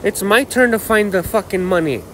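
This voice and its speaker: An American man with a notably high voice rambles casually in slang and swearing, full of swagger. He is talking into a phone outdoors, with light ambient noise behind him. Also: moderate pace, fairly bright tone. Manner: slangy, profane, boastful, with repeated catchphrases. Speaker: vlogger. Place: outdoors on a dirt path by a canal. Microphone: phone held at arm's length.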